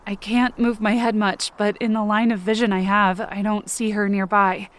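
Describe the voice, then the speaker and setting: A woman acting an anxious, self-blaming, injured character who narrates her ordeal in short, halting phrases for an audio drama. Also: mid-range voice, level tone, close to an everyday voice. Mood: despairing, weary. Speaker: fiction podcast performer.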